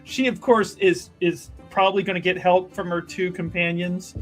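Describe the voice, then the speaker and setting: A man playing a tabletop roleplaying game, improvising his character's actions in a halting way and repeating phrases for emphasis. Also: high voice, steady tone. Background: background music.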